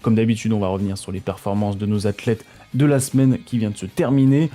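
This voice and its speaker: A man's voice: lowish voice, fairly even intonation, fast talker, warm tone. A man from France presenting a weekly sports news roundup in a chatty way, talking straight to his viewers and stumbling over foreign names.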